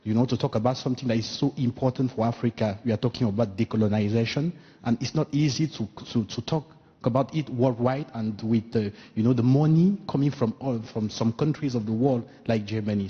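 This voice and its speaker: A man from Equatorial Guinea whose first language is French, asking a press conference question in halting English with many restarts. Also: medium pitch, husky. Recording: dull sound with long hall reverb.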